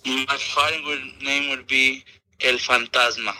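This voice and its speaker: A man's voice: medium pitch, steady tone, a little slow, pushed and bright, no echo. A man talking casually as a guest on a phone call, answering questions. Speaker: called guest.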